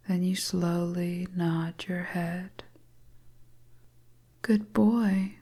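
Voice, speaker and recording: Slow, whispery woman storyteller. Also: mid-range voice, a little mellow. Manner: breathy, whispery, soothing. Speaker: audio storyteller. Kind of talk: hypnotic scripted second-person narration.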